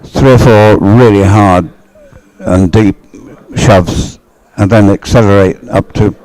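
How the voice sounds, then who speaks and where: A man giving live sports commentary in an explanatory, conversational way, thinking aloud in short, halting phrases. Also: lowish voice, fairly even intonation, darkish tone, low-quality recording.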